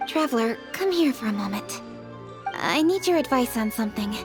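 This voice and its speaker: A woman's voice: fairly high pitch, lively intonation, normal speed, close to an everyday voice. A woman voicing a composed, self-assured young woman in a video game, reserved and slightly formal in her precise speech. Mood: self-conscious. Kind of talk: video game character acting. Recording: faint music behind the voice.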